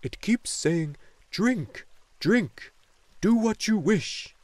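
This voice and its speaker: A man performs a luck dragon from a fantasy storybook, wise and joyful. The voice sits fairly high and moves with wide, singing swings of pitch. Each phrase is delivered slowly and solemnly, in a close, dry sound. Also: expressive, no room sound, full character voice. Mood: solemn reciting. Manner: slow, solemn, deliberate delivery.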